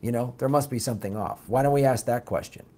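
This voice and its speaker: Raspy man, casual opinionated chat, reads out viewer comments. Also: medium pitch, fast. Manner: blunt, animated, mocks opponents with put-on quotes. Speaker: political podcast host reading viewer comments.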